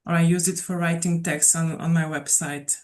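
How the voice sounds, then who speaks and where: A woman's voice: on the low side, level tone, moderate pace. A woman teacher sharing how she works in a casual online discussion, friendly and a little hesitant. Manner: friendly, hesitant, with fillers and repeats. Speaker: mindfulness and English teacher.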